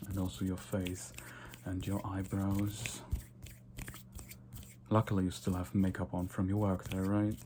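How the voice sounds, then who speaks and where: A man playing a vain, condescending yet flirtatious vampire in a soft-spoken, nonchalant ASMR roleplay. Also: low-pitched, noisy background. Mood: approving, soft. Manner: soft-spoken, unhurried, repeats words in whispers.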